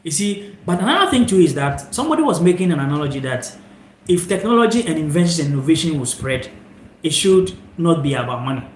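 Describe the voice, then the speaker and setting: A man's voice: a little high, sing-song, fast talker. A young man from Ghana hosting a live stream, talking reflectively to viewers into a phone held sideways, rambling with fillers. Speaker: channel host. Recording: echoey room, faint noise.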